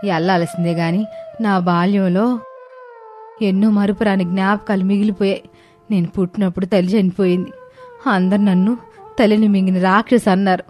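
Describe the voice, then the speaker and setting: A woman voicing a middle-aged mother-in-law in an animated moral story, grumbling, sentimental and dramatic. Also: mid-range voice, moderate pace, long pauses, faint music behind, lightly acted.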